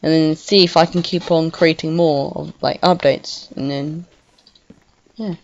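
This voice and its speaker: A woman gives casual, rambling commentary while playing a game, excitable and quick to swear. Her voice sits a little low for a woman. It comes through a home computer mic that sounds slightly dull and lo-fi. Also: a little hiss or hum. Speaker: gaming video creator. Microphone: home computer microphone.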